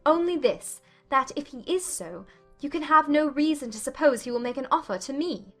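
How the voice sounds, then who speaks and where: A woman playing a poised, defiant young gentlewoman in a dramatized audiobook, composed, articulate and firm. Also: high voice, animated, normal speed, faint music behind. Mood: cool, challenging. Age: young adult.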